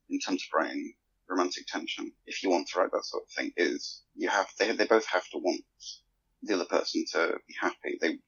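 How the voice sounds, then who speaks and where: A man's voice: deep voice, gravelly, fairly bright tone, breathy edge. A man talking casually as a guest author on a group podcast. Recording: muffled lo-fi voice chat audio.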